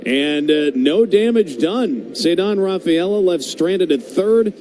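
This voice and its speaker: A man's voice: sits high, takes it slowly, background noise. An American man doing live radio baseball play-by-play in a conversational way. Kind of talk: live radio sports commentary. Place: stadium broadcast booth.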